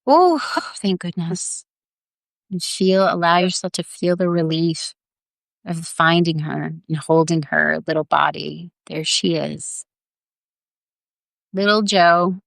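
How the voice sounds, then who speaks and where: Slow, gravelly-voiced woman leading meditation. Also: fairly low pitch, fairly even intonation, a bit bright, no echo. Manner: tender, earnest, coaxing, with repeated phrases. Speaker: guided meditation leader. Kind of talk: guided visualization meditation, improvised storytelling.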